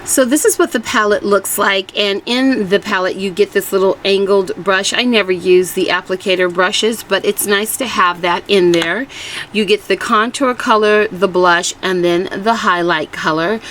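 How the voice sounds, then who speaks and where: A woman's voice: medium pitch, moderate pace, a bit bright. A woman with a slightly scratchy, strained voice, chatting informally as the host of a casual makeup review vlog. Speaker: beauty vlogger host.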